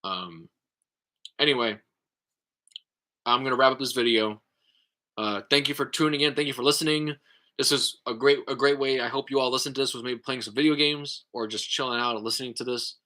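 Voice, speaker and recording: Guy, vlogger, bright edgy tone, stop-start unscripted musing full of "like" and "uh". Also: medium pitch. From United States. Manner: halting, lots of fillers and restarts; explanatory, thinking aloud. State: tired. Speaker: YouTube creator. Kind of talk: casual response vlog.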